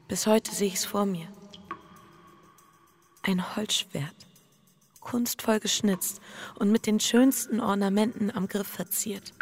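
Anxious, creaky-voiced guilty wife. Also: female voice, mid-range voice. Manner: intimate, often hushed. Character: anxious, guilt-ridden wife confessing her inner torment. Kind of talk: introspective radio play narration and dialogue.

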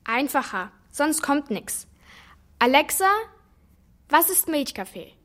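Young girl, high voice, blunt, confident, loyal know-it-all best friend for a children's radio play. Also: animated, slow pace, fairly bright tone, heavily acted. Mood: blunt, matter-of-fact. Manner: blunt, matter-of-fact, confident.

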